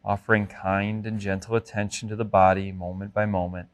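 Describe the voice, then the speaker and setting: Low-pitched man guiding a meditation. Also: flat delivery. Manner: gentle, measured, instructive. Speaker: mindfulness meditation instructor. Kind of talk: guided body scan meditation.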